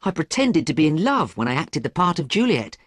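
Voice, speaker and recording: A man voicing a lovesick young actress in a storybook reading, pitching his voice up to plead with her lover. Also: very animated, fairly quick, no echo. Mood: giddy happy.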